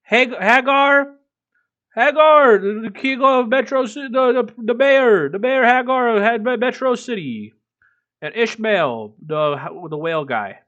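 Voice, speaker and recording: A man's voice: very high voice, lively intonation, slow, fairly bright tone, muffled recording. A man reading a book aloud as a streamer, breaking off into casual, irreverent, swearing commentary. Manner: irreverent, profane asides.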